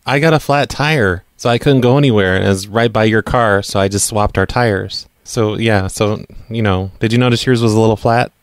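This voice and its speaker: A man's voice: on the low side, moderate pace, hardly any pauses, dry recording, studio quality. A man making a prank phone call in casual speech, hesitant and argumentative as he stumbles over his words. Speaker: prank caller, show host.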